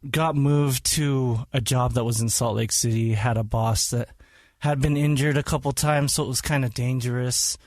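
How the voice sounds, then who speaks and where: A man born in Hawaii and raised in Utah talks casually as a guest, unpolished and candid, stopping to correct himself. His voice sits at an ordinary middle pitch. The sound is dry and close. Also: fairly even intonation, normal speed, a little mellow, no echo. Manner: plain-spoken, with frequent ums and restarts. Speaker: podcast guest. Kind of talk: casual interview talk.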